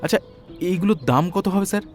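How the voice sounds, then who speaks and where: A man's voice: sits high, fast talker, soft background music, natural voice. A man playing an inquisitive, polite and eccentric Bengali detective in an audio story, deferential in his questions. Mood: curious, pondering. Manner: deferential, tacking "sir" onto questions.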